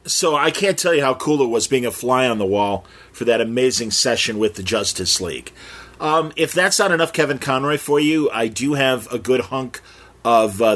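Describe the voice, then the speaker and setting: A man hosting a podcast, talking off the cuff in a halting way with many fillers. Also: mid-range voice, a bit bright. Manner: halting, filler-heavy delivery. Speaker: podcast host. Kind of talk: unscripted hosting talk.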